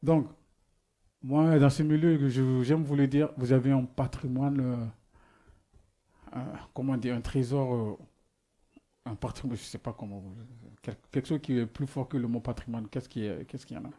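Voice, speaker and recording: Mellow-voiced preacher, non-native speaker. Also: male voice, mid-range voice, steady tone, normal speed. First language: not French. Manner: searching for words, frequent restarts, rhetorical questions. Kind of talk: sermon.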